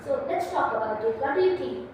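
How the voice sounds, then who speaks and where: High-voiced Nepali-accented woman, echoey room. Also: animated, fairly quick. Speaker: podcast host. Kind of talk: casual podcast discussion. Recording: echoey room from far off, faint noise.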